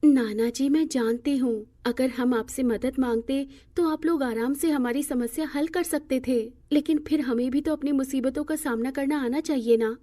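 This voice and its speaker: An earnest young married woman, voiced by a female narrator in a cartoon moral tale. She is respectful and self-reliant, with a soft, mellow, fairly high voice. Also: moderate pace, few pauses. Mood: earnest, determined. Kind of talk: acted cartoon moral-story dialogue.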